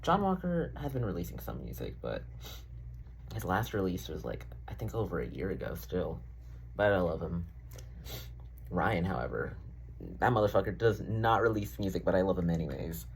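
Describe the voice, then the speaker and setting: A man's voice: low-pitched, very animated, moderate pace, creaky, slight background noise. A young man rambling casually in a diary-style vlog, full of fillers, swearing and self-deprecating asides. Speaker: vlogger.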